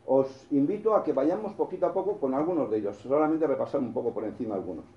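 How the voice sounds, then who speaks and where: A man's voice: medium pitch, expressive, fast, echoey room, dull audio. A man with a Spanish accent from Spain, preaching a sermon in a storytelling way, with restarts and asides to the congregation.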